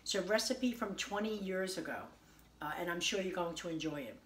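A woman's voice: on the low side, brisk, a bit bright. A woman in her forties hosting a casual home cooking video, chatty and homey as she prepares and tastes a salad in her kitchen. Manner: chatty, homey, tasting and praising the food as she goes. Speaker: home cooking show host. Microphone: camera on the counter at arm's length.

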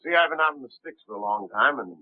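An actor playing a friendly, folksy police captain in a 1930s film. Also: male voice, medium pitch, animated, quick pace, a little hiss or hum, natural voice. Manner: folksy, eager to help. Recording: tinny 1930s film soundtrack.

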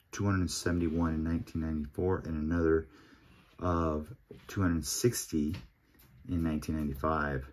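A man with a deep, low voice talks slowly through a pack opening at his table. He reads out each pull in an easygoing, half-muttered way, as if to himself. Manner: murmurs player names one by one, relaxed and unhurried. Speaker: card collector opening packs. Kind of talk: casual pack-opening commentary. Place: home table with card collection display.

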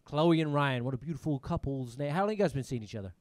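Teasing stand-up comic with a mixed accent. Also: male voice, fairly high pitch, lively intonation, a little mellow, no echo. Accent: mixed British and American. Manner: teasing, quick banter with the audience, swears. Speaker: stand-up comedian. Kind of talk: stand-up crowd work. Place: comedy club stage, indoors. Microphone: handheld mic.

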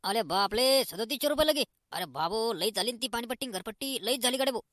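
A man playing a chatty, evasive village fellow in a comic cartoon, with a rural Maharashtrian accent and an excitable, colloquial way of talking. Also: extremely high pitch, fast talker, no echo, clean studio sound, exaggerated voice. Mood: nervous, dodging. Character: chatty, evasive village men dodging tax collectors.

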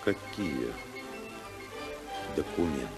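An actor playing a principled, defiant congressman in a 1950s radio play, speaking in a measured, ironic, indignant way. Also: a bass voice, animated, exaggerated voice. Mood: wary, ironic. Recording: 1955 radio recording, faint music.

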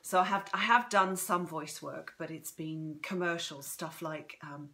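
A woman's voice: sits low, steady tone, a little slow, pushed and bright. A woman in her forties, an author hosting a live reading from home, chatting effusively with a slightly throaty, strained voice and greeting viewers by name. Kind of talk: casual livestream chat. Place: home room, indoors. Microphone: phone propped in front of her.

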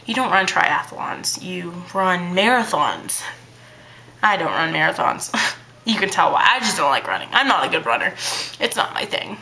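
A teenage girl vlogging to her webcam in her bedroom, talking fast and hyper in a childlike baby voice, full of fillers. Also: lowish voice, lively intonation, pushed and bright, poor audio. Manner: bubbly, hyper, chatty with many fillers. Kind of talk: casual chat to camera. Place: small bedroom indoors. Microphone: webcam at arm's length.

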